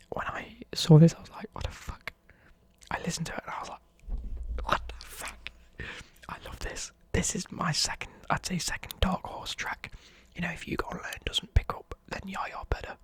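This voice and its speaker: Whispered ASMR review, airy breathy tone, casual slangy manner, young adult. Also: medium pitch, level tone, normal speed, no room sound. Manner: casual and enthusiastic, swears, mutters lyrics under breath. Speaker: ASMR vlogger.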